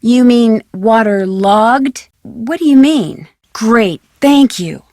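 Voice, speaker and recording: A woman's voice: fairly high pitch, lively intonation, takes it slowly, mellow, dry recording, clean studio sound. An actress playing a polite, inquisitive young female detective, earnest, in a video game.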